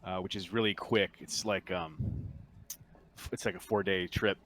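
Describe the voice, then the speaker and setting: A man acting as game master, explaining the game casually and haltingly in an informal voice chat. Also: sits low, fairly even intonation, fairly bright tone, slight background noise. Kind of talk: casual tabletop roleplaying narration and chat.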